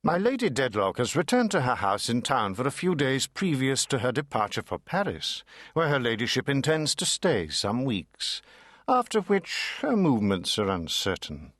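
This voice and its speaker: A man's voice: mid-range voice, very animated, normal speed, fairly bright tone, no echo, clean studio sound. A man reading classic literature dramatically, theatrical and precise. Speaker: audiobook narrator. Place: dry studio.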